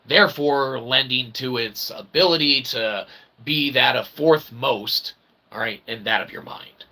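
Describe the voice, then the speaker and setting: A man hosting a philosophy show with a rambling monologue, wordy and mock-formal, in a gravelly voice with pompous archaic phrasing. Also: medium pitch, unhurried, sharp tone. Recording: clearly noisy recording.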